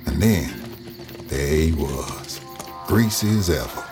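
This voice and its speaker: A man's voice: very deep voice, animated, takes it slowly, full character voice. An actor playing a grave storyteller with a Southern US accent, recounting his past in an audio drama. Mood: grave, foreboding. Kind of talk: first-person audio drama narration. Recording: music behind the voice.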